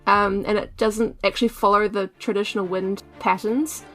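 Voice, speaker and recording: A woman's voice: medium pitch, steady tone, normal speed, fairly bright tone, faint music behind. A woman with a New Zealand accent, talking casually as a player in a tabletop game. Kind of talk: casual chat.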